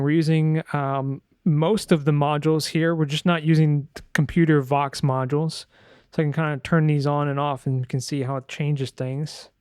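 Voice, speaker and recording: A man presenting a sound design software tutorial in a casual, informal way, thinking aloud as he demonstrates. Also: a little high, smooth, no echo, studio quality.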